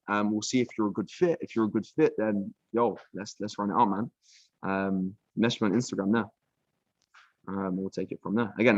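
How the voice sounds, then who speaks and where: A young British man breaking down trading charts on a casual live stream, relaxed and chatty, full of "um", "bro" and "like". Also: lowish voice, no echo, dull audio. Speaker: trading mentor. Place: villa room.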